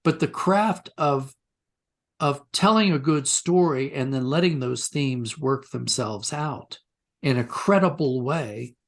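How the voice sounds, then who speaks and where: A man's voice: medium pitch, creaky. A man being interviewed as a writer, talking in a reflective, discursive way and often rephrasing mid-sentence. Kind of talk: conversational interview, reflective storytelling.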